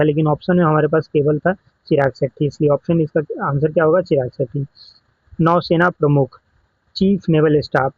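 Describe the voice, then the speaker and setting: A man with an Indian accent and a slightly high, husky voice explains exam questions step by step as a coaching teacher. He slips English technical terms into his explanations. The recording is dry and somewhat dull. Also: no echo. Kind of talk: exam question walkthrough lesson.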